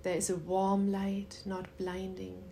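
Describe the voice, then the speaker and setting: A woman leading a guided meditation in a soothing, unhurried way with long pauses and a soft voice that turns breathy at times. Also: medium pitch, monotone, background noise.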